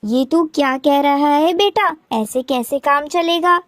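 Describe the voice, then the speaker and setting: Woman voicing a high-pitched caring grandmother bird. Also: a little slow, no room sound. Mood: concerned, chiding. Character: caring elderly mother-in-law bird, grandmother, gently scolding. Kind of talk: Hindi moral-story cartoon dialogue.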